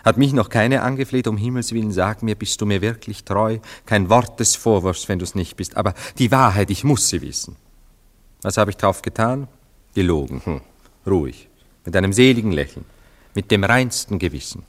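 Deep-voiced brooding gentleman lover for a radio drama, played by a man: jealous, cynical, eloquent, self-dramatising. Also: moderate pace, close to an everyday voice. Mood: anguished, passionate. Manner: theatrical, eloquent, musing monologues with emphatic swings. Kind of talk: German literary radio play acting.

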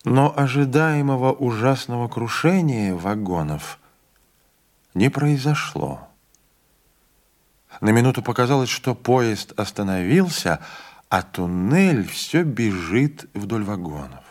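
A man reading a literary audiobook in a measured, even storytelling manner. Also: mid-range voice. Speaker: audiobook reader. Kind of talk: literary narration.

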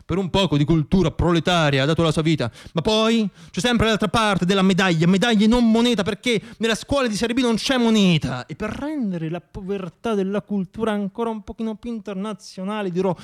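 High-voiced man performing a monologue. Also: moderate pace. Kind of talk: theatrical storytelling monologue, radio theatre.

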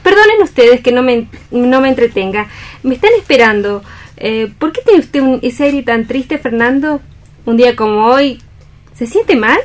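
A woman's voice: fairly high pitch, expressive, normal speed, close to an everyday voice. An actress with an accent from Spain playing a coquettish, cheerful, gracious lady in a radio play reading, effusive and genteel. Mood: cheerful, blithe.

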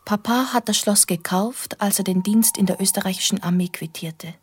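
An actress playing a lonely, wistful young woman, narrating a radio drama in a breathy, half-whispered, reflective voice. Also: medium pitch, moderate pace, darkish tone, close to an everyday voice. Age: young adult. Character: lonely, wistful young woman recalling her childhood in a castle. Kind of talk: intimate first-person radio drama narration.

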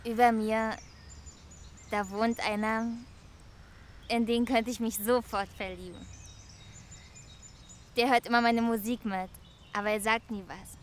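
A woman's voice: a little high, flat delivery, a little slow, long pauses, natural voice. An actress playing a wistful woman in a confiding radio drama monologue.

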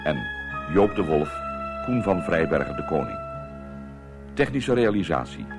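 Very deep male radio announcer over music. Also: very animated, measured pace. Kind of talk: formal scripted radio credits.

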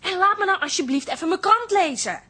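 A sullen young daughter in a radio play. Her voice is high and swings up and down as she grumbles, curt and irritable. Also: animated, a little fast, bright, forceful tone, exaggerated voice. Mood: sullen, irritated. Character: grumpy grown-up daughter, sullen in the morning. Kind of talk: radio drama acting.

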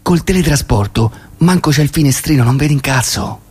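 A man's voice: a little high, brisk, darkish tone, slightly rough. A man performing a nostalgic, grumbling old grandfather in a comic monologue, with a Roman accent and a stammering, repetitive, sweary way of talking. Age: older. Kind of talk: comic monologue at a live reading.

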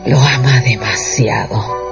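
A man's voice: medium pitch, takes it slowly, gravelly. A man giving scripted dramatic narration as the storyteller of a radio drama. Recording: dull radio production with music underneath.

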